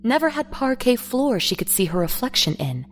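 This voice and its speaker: A woman's voice: a little high, very animated, normal speed, soft background music. A woman narrator reading a scripted, dramatic story.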